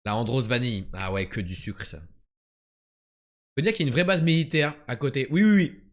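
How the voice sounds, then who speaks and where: A man's voice: medium pitch, a little fast. A young man from France streaming word and geography games, joking and boasting as he chats with his viewers. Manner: joking, boastful, chatty with the chat. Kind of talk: casual live-stream commentary.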